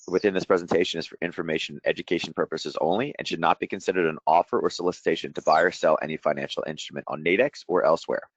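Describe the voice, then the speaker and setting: A middle-aged man presents an informal trading webinar in a low, somewhat strained voice. He moves quickly through his explanations in a chatty way. The sound is dry and close, with a dull lo-fi quality. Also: dry recording. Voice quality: voice deeper than usual from shouting. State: strained voice after a day of loud coaching. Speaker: trading educator hosting a market breakdown.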